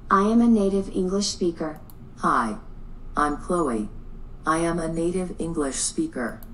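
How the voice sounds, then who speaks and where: A text-to-speech woman's voice reading short demo lines in a flat, even, synthetic way. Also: lowish voice, a little slow, distant mic, a little hiss or hum, rough recording. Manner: flat synthetic sample read.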